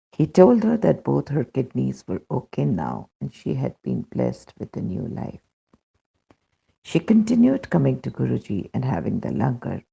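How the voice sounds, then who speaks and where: Male narrator, Indian accent, for devotional reading. Very creaky, lively pitch movement, lo-fi sound. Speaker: reader of devotional testimony. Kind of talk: scripted narration, read aloud. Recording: dull, muffled lo-fi recording.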